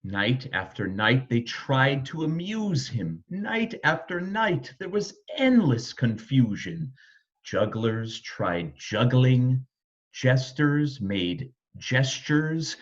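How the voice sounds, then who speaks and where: A man reading a rhyming children's story aloud in a rhythmic, expressive way. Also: medium pitch, slow pace, dull audio. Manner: rhythmic, animated reading of rhyme. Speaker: storybook reader.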